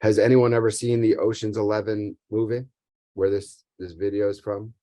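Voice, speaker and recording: Deep-voiced man leading an online class. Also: little pitch movement, moderate pace, dark tone, no echo. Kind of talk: interactive lesson, prompting participants. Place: online video call.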